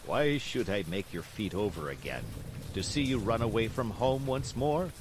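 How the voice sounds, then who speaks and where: A man voicing a kindly old woodcarver father who talks slowly and calmly, gently lecturing in a storybook reading. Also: fairly low pitch, background noise, close to an everyday voice. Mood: stern. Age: older. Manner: slow, calm, gently lecturing.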